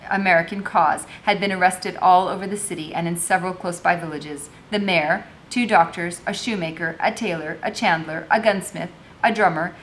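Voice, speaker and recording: A woman's voice: lowish voice, a bit bright, slight background noise. A woman teacher reading a novel aloud, moving between narration and informal explanatory commentary.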